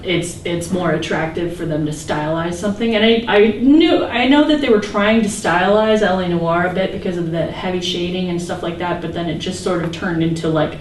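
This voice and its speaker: A woman's voice: lowish voice, a little fast, few pauses. A woman who works as a voice actor, chatting casually and jokingly with opinions on a podcast panel. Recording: roomy, distant mic, faint background noise.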